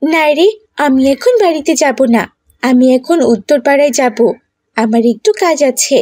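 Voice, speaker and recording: A woman's voice: sits high, normal speed, darkish tone, no echo, clean studio sound, close to an everyday voice. A woman voicing a mischievous, scheming young village girl in a cartoon, with a wheedling, bossy way of talking. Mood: casual.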